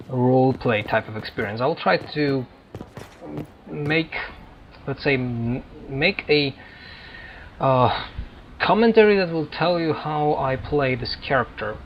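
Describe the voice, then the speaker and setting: A man giving casual, unscripted gameplay commentary, explaining things haltingly as he searches for words. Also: mid-range voice. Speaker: gamer hosting a playthrough. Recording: dull lo-fi mic, faint noise.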